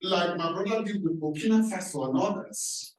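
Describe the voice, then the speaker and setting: A man's voice: sits high, moderate pace. A man from Cameroon, a professor introducing himself on a panel, speaking emphatically and posing fiery rhetorical questions. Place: large echoey hall. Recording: echoey hall from a distance.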